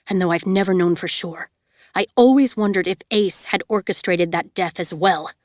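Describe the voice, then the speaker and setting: A woman voicing a man who speaks steadily and quietly, full of regret. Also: on the low side, moderate pace, dry recording, clean studio sound, natural voice. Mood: steady. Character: older man, steady and remorseful.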